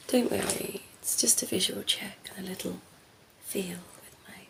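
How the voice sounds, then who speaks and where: Woman playing a slow, creaky, flirty vampire lady. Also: mid-range voice, dark tone, slight background noise. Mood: coy, menacing. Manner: playful, coy, murmuring, often dropping into whisper. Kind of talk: ASMR roleplay. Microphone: close ASMR microphone.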